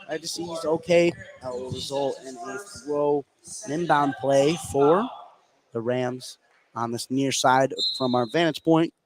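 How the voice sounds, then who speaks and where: A man giving excitable live play-by-play radio commentary on a basketball game, his voice rising on big plays. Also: mid-range voice, measured pace, rough recording. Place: live at a high school basketball gym. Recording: clear background noise, gym ambience.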